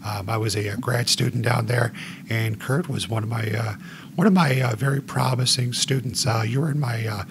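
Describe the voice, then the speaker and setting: A man in his forties with a gravelly voice, hosting a joking podcast chat. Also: mid-range voice, normal speed, background noise. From United States. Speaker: podcast host.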